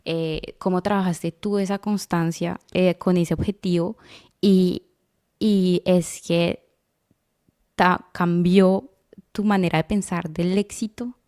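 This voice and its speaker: A woman hosting a podcast interview. Her voice sits slightly low. She builds her questions slowly and thoughtfully, with fillers along the way. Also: steady tone, warm tone. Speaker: podcast host.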